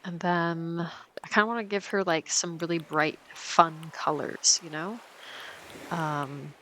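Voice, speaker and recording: A woman's voice: lowish voice, fairly even intonation, takes it slowly, pushed and bright, a little hiss or hum. A woman casually commenting on her gameplay as host, easygoing and chatty with plenty of ums, a little sniffly as she gets over a cold. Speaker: let's play host.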